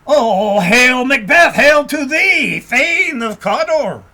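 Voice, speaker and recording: A man voicing old witch women who proclaim prophecies in a loud, drawn-out storybook declamation. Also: very high-pitched, lively intonation, pitched above the performer's own voice, full character voice.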